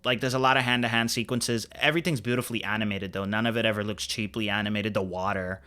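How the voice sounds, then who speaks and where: A man's voice: fairly low pitch, steady tone, fast talker, bright, forceful tone, clean tone. A man co-hosting a podcast, chatting casually about films in an enthusiastic, emphatic way. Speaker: podcast co-host. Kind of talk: casual film chat.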